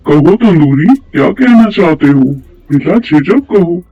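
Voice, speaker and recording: A man playing a calm, reassuring and commanding king in an Urdu moral cartoon story, measured and gracious. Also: on the high side. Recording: phone-like thin sound with music behind.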